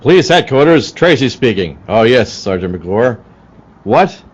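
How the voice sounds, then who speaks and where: Man playing a husky, urgent radio-serial detective. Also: mid-range voice, very animated, a little slow, muffled recording. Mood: alarmed, firm. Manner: urgent, clipped commands. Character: brisk, tough male police detective. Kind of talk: 1940s radio acting.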